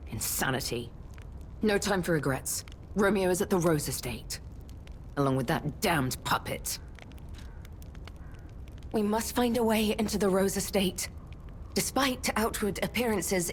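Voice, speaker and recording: An actress playing a determined, proud woman warrior with a solemn, measured delivery in a video game cutscene. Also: on the low side, a little hiss or hum, close to an everyday voice. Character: determined, grieving woman stalker, grave and proud.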